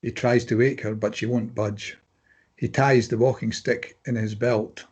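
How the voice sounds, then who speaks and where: A man's voice: medium pitch, level tone, a little slow, darkish tone, a little hiss or hum. A man reading stage directions as narrator, in scripted dramatic present-tense narration.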